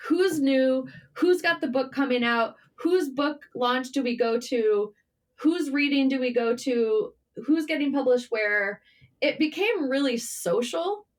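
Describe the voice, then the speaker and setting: A middle-aged American woman chatting informally as an interview guest, telling personal stories with emphatic repetition. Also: a little high. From Michigan, United States. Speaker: podcast guest, writer. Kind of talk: conversational interview storytelling.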